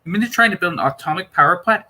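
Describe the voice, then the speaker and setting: A man's voice: high-pitched, expressive, very fast, sharp tone, voice pushed up, exaggerated voice. A man voicing a worried female companion in an audiobook, asking short, pressing questions. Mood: questioning.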